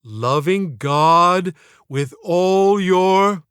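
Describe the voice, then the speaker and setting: An exasperated Sunday school mistress from a comic audiobook, acted out by a man. She is earnest and pleading, drawing out her words with emphasis. The voice sits fairly high and the sound is dry. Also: very slow, a bit bright, clear, resonant tone, no room sound, heavily acted. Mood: pleading, patient.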